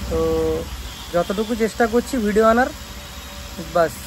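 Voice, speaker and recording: A man's voice: high voice, moderate pace. A young man with a West Bengal accent chats casually to viewers in a vlog, explaining things with frequent check-in tags and restarted phrases. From West Bengal, India. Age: young adult. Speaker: speaker repair shop vlogger. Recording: clearly noisy phone vlog recording.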